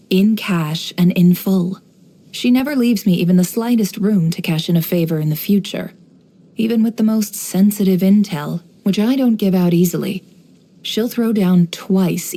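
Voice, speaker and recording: An actress playing a shrewd, worldly woman who runs an intelligence business in a video game, speaking in a composed, wry, measured way. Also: medium pitch, mellow, lightly acted, poor audio. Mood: dry, businesslike. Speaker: video game character voice actor. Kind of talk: scripted game character voice lines, monologue.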